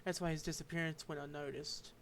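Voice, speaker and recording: A man streaming a game, reading its dialogue aloud and commenting, halting and stumbling over unfamiliar names. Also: fairly high pitch, level tone, vocal fry, fairly bright tone, a little hiss or hum.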